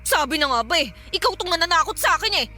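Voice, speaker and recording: An actress playing a frightened young woman alone at night, hushed and jumpy, then turning defiant, in a radio drama. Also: very high-pitched, sing-song, quick pace, heavily acted. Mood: angry, defiant.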